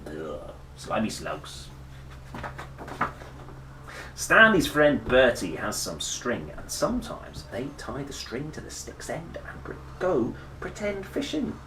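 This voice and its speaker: Expressive, slow-paced male storyteller in a roomy space. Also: mid-range voice. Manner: playful, expressive, dramatic pauses and exclamations. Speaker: children's picture book reader and presenter. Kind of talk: animated storybook read-aloud with chatty asides. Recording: roomy echo, faint background noise.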